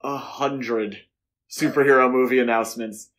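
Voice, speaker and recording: A man with a medium-pitched voice talks as a podcast co-host. He discusses films casually and conversationally, slipping in wry asides. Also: steady tone, moderate pace, a bit bright.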